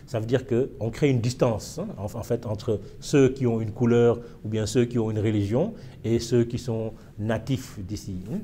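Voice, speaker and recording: A man's voice: mid-range voice, fairly even intonation, moderate pace, few pauses, mellow, slight background noise. A man from Senegal, a historian, answering interview questions in a reflective way with frequent fillers and hesitations. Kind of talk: casual interview.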